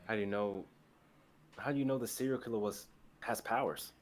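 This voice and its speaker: A man's voice: lowish voice, brisk, lightly acted. A young man playing an optimistic, easygoing store worker in a dramatic script reading. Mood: confident. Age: young adult.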